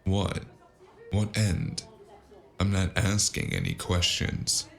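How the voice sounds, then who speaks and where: A man playing a weary, wisecracking noir investigator in an audio drama, dry and sardonic, with a gravelly voice and an unhurried delivery. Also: low voice, little pitch movement, faint music behind, full character voice. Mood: dry, guarded. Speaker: narrator and lead character.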